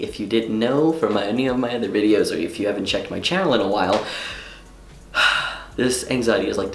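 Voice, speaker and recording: A man's voice: on the low side, creaky, reverberant. A young man from Quebec whose first language is French, chatting casually in a rambling, self-interrupting vlog with some swearing. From Quebec, Canada. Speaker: vlogger. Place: room at home.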